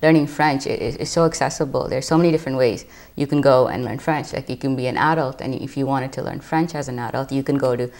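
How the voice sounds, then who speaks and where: A young woman with a notably low, gravelly voice speaks as a panel guest. She makes her case earnestly and checks in with "right?" after nearly every point. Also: quick pace, a little mellow. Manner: earnest, keeps adding "right?". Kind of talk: panel discussion.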